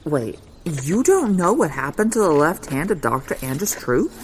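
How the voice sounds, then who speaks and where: A woman playing an excitable, gossipy garden worker with a conspiratorial, slangy manner in a comedy audio drama. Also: deep voice, normal speed, a little hiss or hum.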